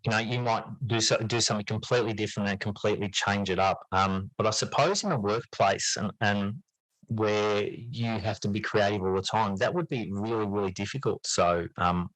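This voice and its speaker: A man's voice: on the low side, fairly even intonation, moderate pace, fairly bright tone, dry recording, low-quality recording. A man speaking as an interview guest in casual conversation, hesitant and rambling, often repeating words as he finds his point. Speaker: podcast guest, English teacher and writer.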